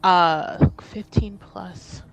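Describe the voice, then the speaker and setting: A woman's voice: fairly low pitch, steady tone, drawn-out words, creaky, rough recording. A woman chatting casually and informally as a player in a tabletop roleplaying game. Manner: informal, swearing.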